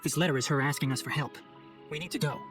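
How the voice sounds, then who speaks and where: A man's voice: a little high, quick pace, music in the background, close to an everyday voice. An actor playing an earnest, scholarly young male forest ranger in a video game cutscene, speaking formally and explaining things with composure. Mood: composed, urgent.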